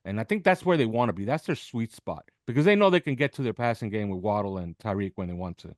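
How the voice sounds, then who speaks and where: A man's voice: fairly low pitch, lively intonation, fast, no room sound, studio quality. A man speaking off the cuff as a football analyst on a podcast, making emphatic points and checking in with short questions. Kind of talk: unscripted sports analysis.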